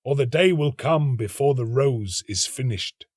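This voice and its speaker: A man voicing a talking tree in a storybook, urgent and commanding, with slow, drawn-out calls. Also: medium pitch, expressive, a little mellow, dry recording, studio quality.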